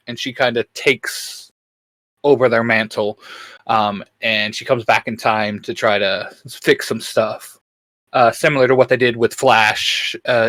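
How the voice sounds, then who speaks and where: Man, crisp bright tone, dry close sound, casual geeky co-host, halting thinking-aloud delivery. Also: medium pitch, dry recording.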